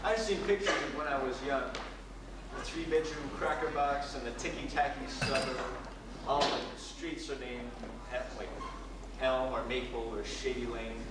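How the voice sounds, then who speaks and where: Teenage guy acting boastful rich kid, mid-pitched, stage monologue, distant noisy recording. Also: normal speed, natural voice. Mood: boastful, reflective. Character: boastful young man from a newly rich family. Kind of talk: high school theatre monologue rehearsal. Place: large school rehearsal room. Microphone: far camera. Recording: very echoey room, distant camera, heavy noise.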